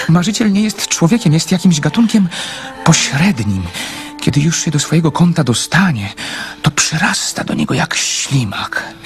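A man acting in a radio drama as a lonely, shy, romantic dreamer, speaking hesitantly and poetically. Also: fairly high pitch.

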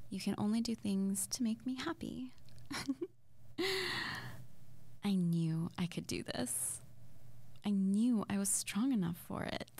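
A woman playing an excitable, playful young witch in an intimate ASMR audio roleplay, speaking softly and breathily, often near a whisper, in a halting murmur. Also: medium pitch, vocal fry, full character voice. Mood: giddy, excited. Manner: halting, giggly, murmuring to the listener.

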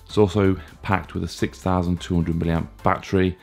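A man in his thirties with a chesty, hoarse cold voice, casually reviewing a gadget with plenty of fillers and restarts. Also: low voice, brisk, faint music behind. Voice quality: gravelly. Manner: relaxed, plain talk with frequent fillers and restarts. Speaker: tech reviewer.

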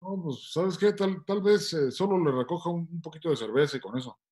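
An older man with a husky voice and a Mexican accent playing a hesitant, thrifty Mexican man over a video call. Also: a little high, fast talker, darkish tone, lightly acted. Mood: flustered. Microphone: video call from home.

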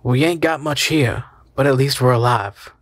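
A man's voice: medium pitch, moderate pace, no echo, close to an everyday voice. A man voicing an easygoing, folksy husband who talks soothingly.

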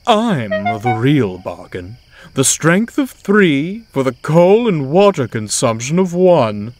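A man's voice: on the high side, very animated, slow pace, slight background noise, voice pushed up. A man voicing a vain, boastful male tank engine who speaks in a grandiose, condescending way. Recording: loud music score behind.

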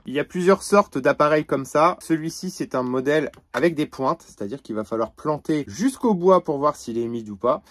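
A man's voice: medium pitch, bright, forceful tone. A man giving a casual, slangy product demonstration to his viewers, with a joking, informal way of talking. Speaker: camper van vlogger testing a product.

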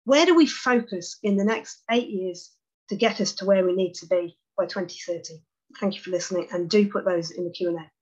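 A woman's voice: fairly low pitch, brisk, slightly rough, muffled recording. A woman speaking as a guest at an online webinar, closing her talk with earnest, emphatic urging. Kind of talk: closing a presentation.

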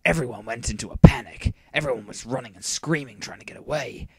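A man's voice: medium pitch, fast, a little mellow, husky, dry recording. A man playing a serious, composed teenage boy who speaks tersely and matter-of-factly in an amateur audio story. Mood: grave.

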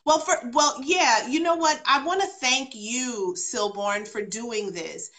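A woman's voice: fairly high pitch, takes it slowly, fairly bright tone. A woman born in London and raised partly in Jamaica and New York, a guest on a talk show, speaking earnestly and repeating phrases for emphasis over a video call. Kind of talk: casual talk-show chat.

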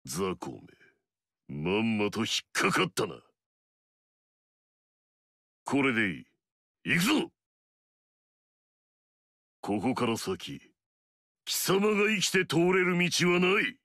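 A man's voice: mid-range voice, wildly swooping pitch, slow pace, pauses often, bright, forceful tone, dry recording, studio quality, exaggerated voice. An actor playing an arrogant, fierce male warlord in a video game, swaggering and barking battle cries.

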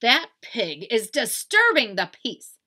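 Woman playing a loud, bossy storybook sister. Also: on the high side, wildly swooping pitch, slow, pitched above the performer's own voice, exaggerated voice. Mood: indignant. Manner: loud, commanding, emphatic.

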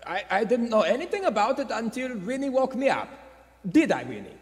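An actor playing a secretive, grumbling husband, halting and evasive, in old radio-play style. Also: male voice, very high voice, very animated. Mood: uneasy, grumbling. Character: uneasy, grumbling, secretive husband running a cinema. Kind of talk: 1940s radio acting. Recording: echoey theater hall.

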